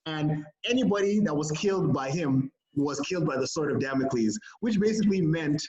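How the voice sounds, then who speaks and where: A man co-hosting a casual sports podcast, animated and laughing often, with plenty of fillers. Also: a little high, brisk, dull audio. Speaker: podcast co-host.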